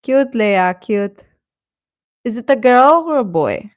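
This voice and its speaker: A woman's voice: on the high side, animated, slow pace, no room sound. Chatty woman livestreamer with a dry, close sound. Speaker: livestream host. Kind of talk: casual chat with live viewers.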